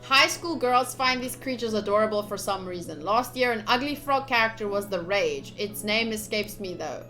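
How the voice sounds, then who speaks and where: A young woman streaming a visual novel, reading the text aloud with casual, gushy, expressive commentary. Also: mid-range voice, moderate pace. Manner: expressive, gushy, slips into cute exclamations. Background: soft game music.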